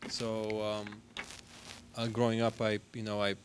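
A man in his thirties from Bulgaria, with a Bulgarian accent, giving casual, halting interview answers into a handheld mic. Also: lowish voice, monotone, background noise. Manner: hesitant, halting. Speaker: guest musician, jazz bassist. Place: TV studio stage.

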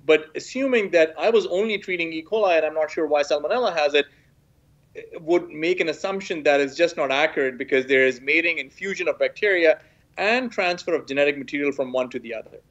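A man in his forties from Pakistan, a professor explaining science as an interview guest over a home video call, measured and full of "sort of" hedges. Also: on the high side, a bit bright. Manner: measured, hedging with "sort of", frequent restarts. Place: small room at home, indoors. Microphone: laptop webcam video call. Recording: dull lo-fi video call audio.

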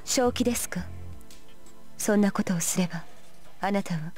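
A woman's voice: medium pitch, fast, soft background music, close to an everyday voice. An actress playing a cool, formal female warrior spirit in a video game. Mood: startled, formal.

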